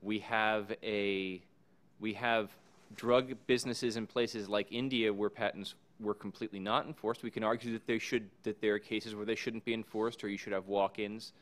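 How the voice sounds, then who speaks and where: A man in his forties giving measured, thoughtful panel remarks from a podium in a conference room, pausing with ums. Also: on the low side, steady tone, a bit bright. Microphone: podium microphone.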